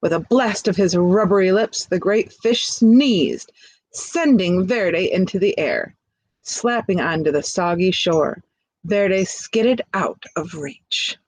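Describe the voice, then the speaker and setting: A woman reads a children's picture book aloud at a slow, easy pace. She is expressive and often steps out of the text to talk to her young listeners. The sound is dry, without room echo. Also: lowish voice, slightly rough. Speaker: storybook reader.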